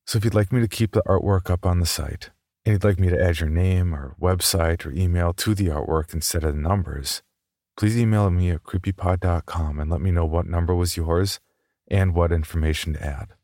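A man with a deep voice hosts a podcast with informal announcements. The sound is dry and close to the microphone. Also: brisk, darkish tone, no room sound. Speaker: podcast host.

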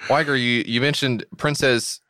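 Male podcast host, bright lively delivery, dry close sound, teasing and joking. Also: mid-range voice, normal speed, no room sound. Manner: joking, teasing, stammering restarts. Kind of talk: casual podcast chat.

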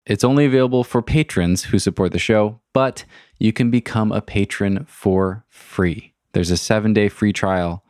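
A man hosting a podcast, relaxed and friendly, chatting casually with his guest. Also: on the low side, normal speed, no echo, studio quality. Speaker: podcast host. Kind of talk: casual interview chat.

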